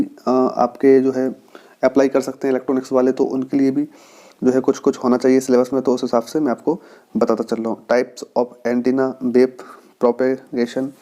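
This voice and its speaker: An Indian man speaks as a teacher giving informal instruction. His voice is mid-pitched and soft-edged, with very little melodic movement. He explains things point by point in an even tone. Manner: instructive, reads out topic lists and explains them. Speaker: teacher, exam-prep channel host. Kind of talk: informal explanatory lecture to students.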